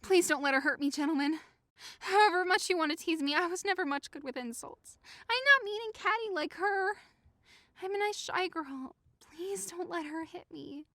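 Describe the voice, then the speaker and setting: A woman's voice: very high voice, normal speed, a bit bright, dry recording. A woman playing a timid, lovesick, easily wounded young woman, plaintive and melodramatic, in a modernized Shakespeare audio drama. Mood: pleading.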